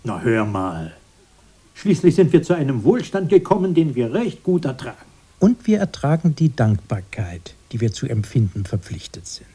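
An aging fairy-tale brother in a radio play. The voice is dark and husky, with lively swings of pitch. He is earnest, proud and pedantic, fond of quoting homely sayings. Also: normal speed. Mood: dignified, defensive. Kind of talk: radio drama acting.

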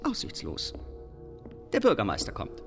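An actor playing a lofty, learned man who muses in riddles, deliberate and declamatory, in a radio drama. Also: on the low side, wildly swooping pitch, vocal fry, exaggerated voice. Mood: cryptic, musing. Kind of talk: German radio drama acting. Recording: music under the voice.

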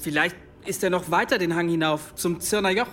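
Radio play novice: high voice, meek, stumbling, evasive, faint music behind. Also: male voice, fairly quick, pushed and bright. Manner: hesitant, stammering, evasive. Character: timid, flustered young novice monk assistant. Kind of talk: German radio play acting.

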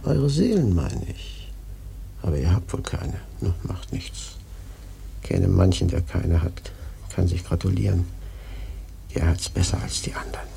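An actor playing a ragged, half-mad sailor prophet in a radio play, raspy and creaky, taunting and cryptic. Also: male voice, low voice, big pitch swings, measured pace, pauses often, mellow, in a whisper, heavily acted. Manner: taunting, cryptic, erratic muttering. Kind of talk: German radio play acting.

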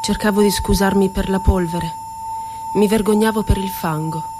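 An actress performs a woman poet, wounded and ironic, looking back on confinement. She speaks in a theatrical radio-play monologue at a middle pitch. Her delivery is deliberate and poetic, lingering on words and posing rhetorical questions. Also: steady tone, noisy background, close to an everyday voice. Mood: wistful, solemn.

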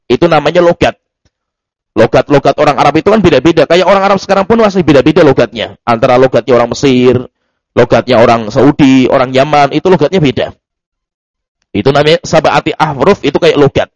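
A man's voice: fairly high pitch, no echo, rough recording. A man giving a loose religious study lecture, often restarting words and adding asides. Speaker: Islamic teacher.

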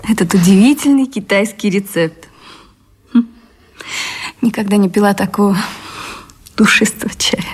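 Casting: actress as refined romantic heroine, breathy mid-range voice, emotional, theatrical, period radio play. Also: female voice, medium pitch, moderate pace, long pauses, natural voice. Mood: delighted. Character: Anna, refined, ardent woman in love, early 20th century. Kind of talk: Russian radio drama acting.

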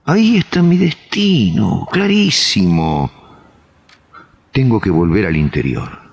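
Broody radio drama man, real low n husky, solemn, dramatic pauses. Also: big pitch swings, dull audio, full character voice. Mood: solemn, portentous. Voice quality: deep, gravelly, husky. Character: Ernesto, brooding man reading prophecies theatrically.

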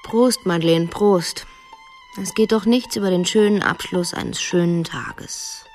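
Actress as brazen, quick-witted, restless wife. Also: female voice, fairly low pitch, darkish tone, faint music behind, natural voice. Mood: tipsy, musing. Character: restless, hard-drinking wife bluffing in disguise, quick-witted. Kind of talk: 1990s German radio play acting.

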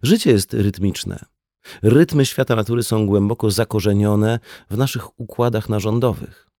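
A man's voice: fairly low pitch, moderate pace, dark tone, dry recording, clean studio sound. A man reading a conversational non-fiction audiobook as scripted narration. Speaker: audiobook reader.

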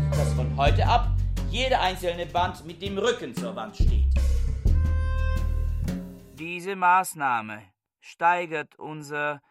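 Casting: fanatical bookish warlord, pompous radio-play declaimer, very slow delivery under loud music. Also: male voice, on the high side. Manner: solemn, pompous, commanding, halting phrases. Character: fanatical bookish man proclaiming himself supreme warlord. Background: loud music with drums and guitar.

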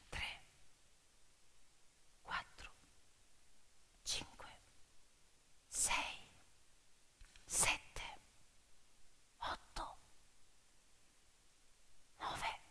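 A woman performing a theatrical narrative monologue as a stage storyteller, in deliberate, dramatic short phrases. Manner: dramatic, deliberate short phrases, swinging from whispers to loud cries. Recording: long hall reverb, piano music behind. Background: piano music.

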